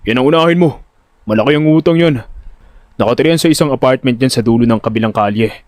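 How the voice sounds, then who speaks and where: Gruff loan boss played by a man, bullying, menacing, barking orders; audio drama villain. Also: medium pitch, fast talker, darkish tone, dry recording, studio quality, voice pushed down, natural voice. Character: gruff, threatening male loan-collection boss.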